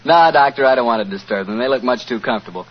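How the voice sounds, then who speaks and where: Vintage radio host: American man, medium pitch, husky, polished sponsor-pitch phrasing, dull period recording. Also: fast talker. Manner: polished, salesmanlike, leisurely phrasing. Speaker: announcer and host. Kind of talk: 1940s radio sponsor announcing. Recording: muffled 1940s radio recording.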